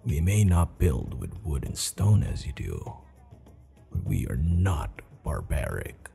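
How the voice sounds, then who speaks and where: A man playing a proud, teasing, commanding barbarian warchief in an ASMR roleplay, gravelly and measured, with formal phrasing. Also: rumbling low, very animated, dark tone, faint music behind, heavily acted. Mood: teasing, stern. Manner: slow, measured, stern yet amused, formal phrasing.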